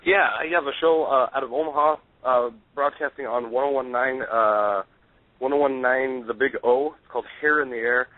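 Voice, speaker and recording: A man's voice: mid-range voice, steady tone, normal speed, no room sound. A man from Nebraska, a radio DJ and band member, eagerly answering questions as a guest on a casual talk show. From Nebraska, USA. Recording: dry, tinny phone-like sound.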